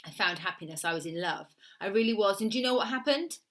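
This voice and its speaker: A woman voicing a fragile, bitter Italian woman of thirty who hires a killer, with an Italian accent and formal, deliberate phrasing. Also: mid-range voice, animated, fairly bright tone. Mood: bitter, wounded.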